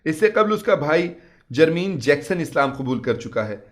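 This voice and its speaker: A man's voice: fairly high pitch, sing-song, normal speed, voice pushed up. A man voicing a friendly woman doctor who chats in a matter-of-fact way. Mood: chatty.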